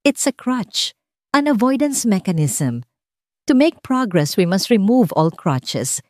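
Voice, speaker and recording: A woman voicing a calm, emotionless male psychiatrist in his late forties in an audio drama story. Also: mid-range voice, dry recording, studio quality, pitched above the performer's own voice. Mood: firm. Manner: calm, emotionless, measured, repetitive.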